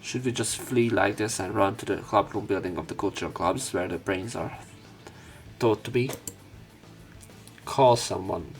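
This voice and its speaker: A man's voice: lowish voice, moderate pace, soft background music, close to an everyday voice. A man voicing a determined, strategic young student hero from a visual novel in an earnest, dramatic manner. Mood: worried.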